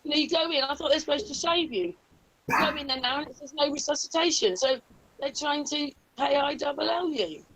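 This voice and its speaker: A woman's voice: high-pitched, fairly quick, fairly bright tone, rough recording. A woman hosting a casual discussion on an online call. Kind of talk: casual chat. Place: indoors on an online video call.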